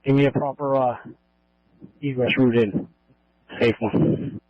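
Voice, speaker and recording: A man working as a police commander, speaking tersely and haltingly over a two-way radio. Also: medium pitch, steady tone, no room sound. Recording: dull lo-fi police scanner radio.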